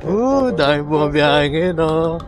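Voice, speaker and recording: A man's voice: fairly high pitch, lively intonation, takes it slowly. A man with a Filipino accent bantering casually and jokingly with fellow riders over a helmet intercom on a night ride. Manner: teasing, jokey, swearing playfully. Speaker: motorcycle vlogger. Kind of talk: casual banter while riding. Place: outdoors on a motorcycle at night. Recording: loud music over riders' intercom talk.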